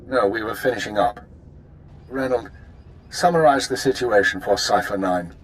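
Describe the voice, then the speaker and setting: A man's voice: on the low side, moderate pace, slight background noise, lightly acted, low-quality recording. A man playing a terse, businesslike intelligence superior in a video game, speaking formally and with controlled authority. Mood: commanding. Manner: formal, commanding, clipped.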